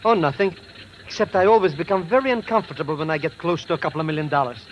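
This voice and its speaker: Nervous comic sidekick, male actor, high-pitched and animated, vintage radio drama with hissy sound. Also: fast talker, dull audio, natural voice. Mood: uneasy. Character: nervous, nosy male sidekick of a secret agent. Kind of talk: 1940s radio drama acting. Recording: noisy old radio recording.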